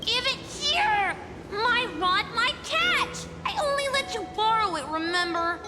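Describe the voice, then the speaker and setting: Squeaky, bossy child, very high. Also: female voice, lively intonation, measured pace. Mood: possessive, squabbling. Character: feisty, possessive village child. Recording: long reverb under loud music.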